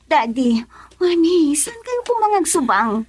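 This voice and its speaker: Casting: anxious young woman in love, radio drama, high breathy voice, slow delivery. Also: big pitch swings, dry recording. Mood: upset, pleading. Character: anxious, tender young student daughter in love. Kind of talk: melodramatic radio drama acting.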